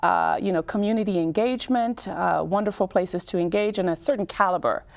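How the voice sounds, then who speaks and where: A woman in her thirties from Cameroon, speaking as a mayor in an interview in a measured, explanatory way with emphatic lists. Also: mid-range voice, gravelly. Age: 30s. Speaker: town mayor, interview guest. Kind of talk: public-affairs interview.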